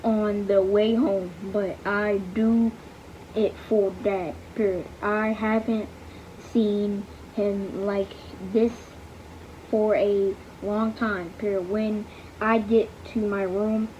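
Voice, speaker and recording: A young female reader going slowly through a book aloud, halting over words and saying the punctuation out loud. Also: medium pitch, level tone, a little hiss or hum. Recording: dull lo-fi home recording.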